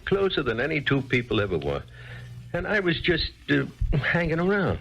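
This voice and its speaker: Weary bartender, rambling, very lively pitch, lo-fi 1970s radio drama. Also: male voice, moderate pace, gravelly, full character voice. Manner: rambling, searching for words. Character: weary male bartender who abandoned his family. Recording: dull lo-fi 1970s radio recording.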